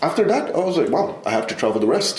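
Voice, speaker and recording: A man's voice: a little high, huge pitch swings, very fast, a little mellow, reverberant. A man from Brazil, partly raised in the United States, tells his story as an enthusiastic guest in a casual podcast chat, repeating words for emphasis. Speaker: podcast guest, tech recruiter.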